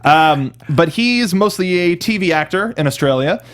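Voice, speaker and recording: A man with a slightly high voice talks as co-host of a movie review show. He chats casually and conversationally, slipping into imagined dialogue as he explains things. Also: animated, normal speed, a bit bright. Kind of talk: casual chat breaking down a movie.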